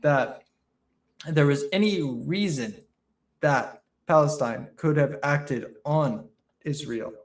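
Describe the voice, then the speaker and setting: A man's voice: on the high side, slow pace. A man on a live stream call, arguing guardedly with the host. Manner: guarded, pushes back with short retorts. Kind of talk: debate with a host.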